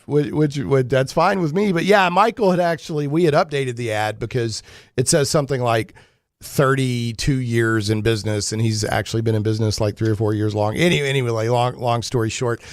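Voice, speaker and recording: A man's voice: mid-range voice, creaky, no echo, studio quality. A man hosting a casual geek talk radio show in a rambling, conversational way.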